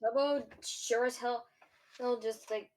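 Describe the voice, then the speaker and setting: A woman's voice: on the high side, fairly even intonation, slow pace, a little mellow, a little hiss or hum, rough recording. A woman player chatting casually about a tabletop game, rambling and often saying "like" as she goes. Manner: rambling, peppered with "like". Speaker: tabletop role-play player.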